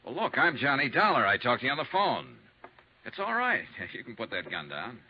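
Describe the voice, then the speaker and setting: A wry, persistent American private investigator from a 1950s radio serial. His mid-pitched voice moves freely through casual, clipped patter. The sound is dull, like an old broadcast. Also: wildly swooping pitch, a little fast. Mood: easygoing, wry. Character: hard-boiled, wry, persistent male insurance investigator. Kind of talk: 1950s radio detective acting. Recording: dull, lightly noisy 1950s radio recording.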